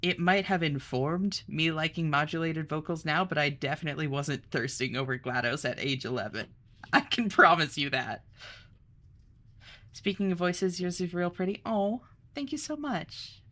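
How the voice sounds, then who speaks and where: A young woman streamer chatting casually, reading chat aloud, bubbly and quick to laugh. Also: low-pitched, a bit bright.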